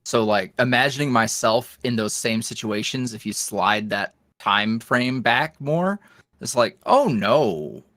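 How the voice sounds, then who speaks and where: A man's voice: mid-range voice, animated, a little slow, a bit bright, dry recording. A man with a mid-range voice that swings up and down a lot as he talks. He chats casually like a podcast guest, folksy and jokey, poking fun at himself. The sound is close and dry. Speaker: interview guest, online audio roleplay creator.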